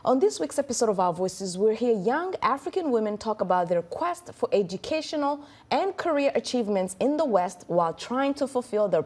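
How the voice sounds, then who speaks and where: A woman's voice: mid-range voice, darkish tone. A woman hosting a talk show, engaged and prompting her guests with questions. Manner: engaged, prompting with short backchannels. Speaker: host.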